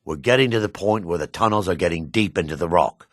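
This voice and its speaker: An actor playing a jaded, sardonic male dark elf in a fantasy video game, with a husky voice. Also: low voice, fast talker, no room sound, studio quality, lightly acted. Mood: weary. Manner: sardonic, disdainful. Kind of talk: video game character voice acting.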